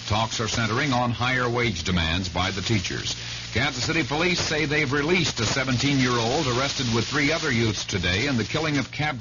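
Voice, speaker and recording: A man's voice: medium pitch, bright, forceful tone. An American man reading a scripted local radio news bulletin. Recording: muffled old radio, faint hum.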